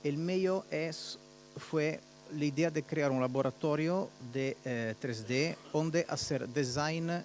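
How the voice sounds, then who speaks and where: An Italian man presenting research at a conference in a second language. He speaks haltingly, often searching for words, and slips Portuguese words in. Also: fairly high pitch, a little mellow, slight background noise. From Italy.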